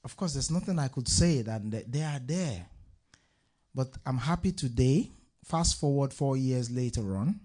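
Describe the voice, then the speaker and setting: A man's voice: mid-range voice, mellow. Chatty man hosting a live stage show. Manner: loose, chatty stage patter. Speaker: talk show host. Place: live art venue with audience.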